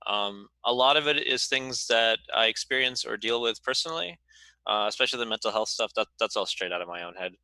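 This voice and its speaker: A man's voice: lowish voice, lively intonation, a little fast, pushed and bright, dry recording, rough recording. A man giving candid, reflective answers as a game writer, hesitant and self-deprecating as he thinks aloud. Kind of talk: candid conversational panel answer.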